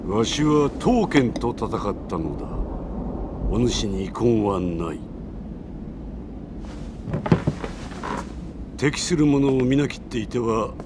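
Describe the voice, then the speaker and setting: An actor playing a shrewd, commanding middle-aged warlord of ancient China in a video game, speaking in measured, imperious, archaic style. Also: male voice, medium pitch, lively intonation, noisy background, natural voice. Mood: measured, weighty. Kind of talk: Japanese video game cutscene acting.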